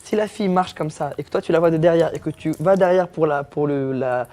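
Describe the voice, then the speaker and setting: A man's voice: fairly high pitch, fairly quick, mellow. A young man, a comedian guest, chatting jokingly in an interview, teasing and self-mocking while lying back.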